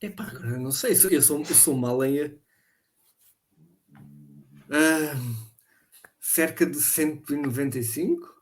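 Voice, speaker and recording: A man playing a quiz among friends, excitable and quick to mix in English slang. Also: fairly high pitch, slight background noise. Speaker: quiz contestant. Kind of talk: casual banter.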